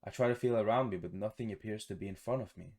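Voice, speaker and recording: A man reading a visual novel aloud for a gaming video in a casual, expressive, sweary way, adding his own playful reactions. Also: on the low side, quick pace.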